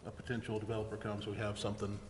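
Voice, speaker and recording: A man chairing a council meeting, speaking in a halting, informal way and reading out formal items. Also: on the low side, monotone. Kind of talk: formal motion reading and unscripted meeting discussion. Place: indoor council chamber. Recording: echoey hall with background noise.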